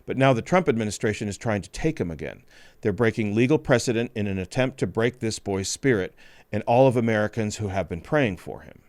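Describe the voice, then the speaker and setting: A male podcast host reading a scripted news report in a grave, measured way. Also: on the low side, animated, a little mellow, dry recording.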